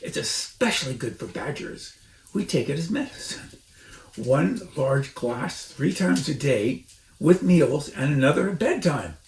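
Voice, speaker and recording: A blustery storybook badger, voiced by a man, excitable and exclaiming. His pitch swings widely, in a room with audible echo. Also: measured pace, distant mic. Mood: enthusiastic. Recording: roomy echo, faint noise.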